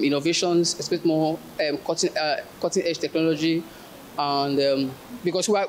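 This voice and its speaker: Hesitant young man, higher-pitched. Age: young adult. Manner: hesitant, repeats himself. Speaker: project manager. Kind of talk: interview soundbite. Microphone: handheld reporter microphone. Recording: faint event background noise.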